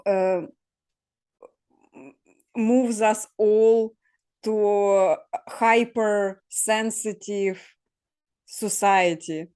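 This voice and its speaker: Slow-speaking, thoughtful woman. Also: mid-range voice, darkish tone. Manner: hesitant, thoughtful, speaking in short broken phrases. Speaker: host. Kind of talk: casual discussion.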